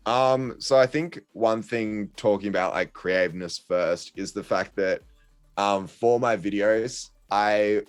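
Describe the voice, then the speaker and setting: Young male podcast guest, very low pitch, halting and easygoing. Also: smooth, soft background music. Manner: hesitant, many ums and restarts, laughs easily. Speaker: guest content creator. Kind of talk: casual podcast chat.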